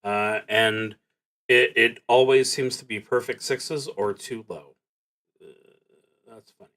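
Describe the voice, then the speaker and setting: A man livestreaming a solo roleplaying game, reading prompts and thinking aloud in a halting, dryly wry way. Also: mid-range voice, steady tone, a bit bright.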